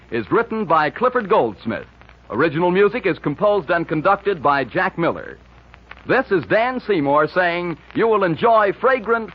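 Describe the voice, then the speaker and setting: A man's voice: high-pitched, breathy edge. An American man announcing a 1940s radio show and its commercials in a polished, promotional manner. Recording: dull, noisy 1940s radio recording.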